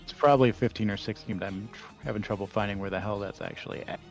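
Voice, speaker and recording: A man's voice: on the low side, fast, soft background music. A man chatting casually as a player in a tabletop roleplaying game.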